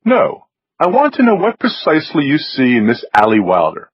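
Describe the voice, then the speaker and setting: A snobbish upper-class man, as a male narrator puts him on, pitched high and full of swoops. He is dryly cutting and pampered, drawling each word with snooty precision in a slightly lo-fi audiobook recording. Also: huge pitch swings, normal speed, slightly rough, dry recording, heavily acted. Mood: snooty, needling. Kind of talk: audiobook character voice.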